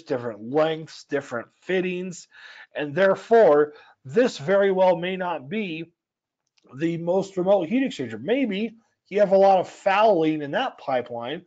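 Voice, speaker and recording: A man with a dry, scratchy voice, explaining a software tool step by step in a casual, filler-filled way. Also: a little high, muffled recording. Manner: explanatory, conversational, with frequent fillers. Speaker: software trainer. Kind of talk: screen-share software tutorial. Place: home or office at altitude.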